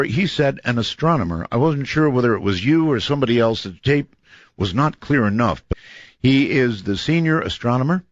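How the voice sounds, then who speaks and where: A man hosting a late-night call-in radio show, talking in a lively, teasing way with frequent restarts. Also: fairly low pitch, dry recording, dull audio. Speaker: radio talk show host. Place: radio studio in Nevada.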